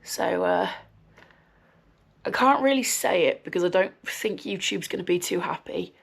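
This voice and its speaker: Brummie-ish young woman rambling on her podcast, croaky voice, bit nasal from a cold, lots of ums. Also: fairly low pitch, huge pitch swings, unhurried. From West Midlands, England. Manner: chatty, frequent ums, dry swearing asides. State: recovering from a cold, slightly nasal and wheezy. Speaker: podcast host. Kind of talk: casual rambling chat.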